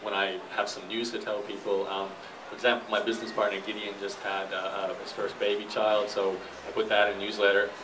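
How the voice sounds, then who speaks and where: A man's voice: on the low side, little pitch movement, a little fast, far from the mic. A man giving an informal, explanatory talk and answering questions as a guest speaker in a noisy live room. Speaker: guest speaker, internet entrepreneur and blogger.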